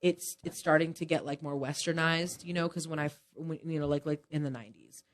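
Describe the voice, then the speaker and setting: A woman's voice: sits low, fairly even intonation, fairly quick. A woman in her thirties who grew up in Japan, talking casually as a guest in an interview, with many fillers and "you know"s.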